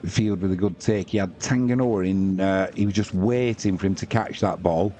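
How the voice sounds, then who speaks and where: A man with a lowish, mellow voice commentates live on rugby league for a stream. He calls the play as it happens and chats to viewers in an informal, matey way. There is some noise behind his voice. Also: normal speed. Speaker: sports livestream commentator and host.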